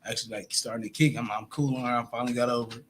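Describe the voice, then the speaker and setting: A man's voice: mid-range voice, flat delivery, very fast, heavy background noise. A man in his twenties candidly telling his story in casual podcast chat. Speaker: podcast guest.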